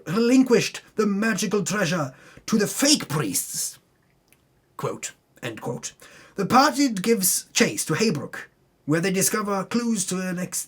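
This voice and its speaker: A man with a fairly high, husky voice reads a story recap as theatrical narration. His pitch swings widely for dramatic effect. He often breaks off into sweary, off-the-cuff asides about his own slips. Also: a bit bright. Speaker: host recapping a tabletop game campaign.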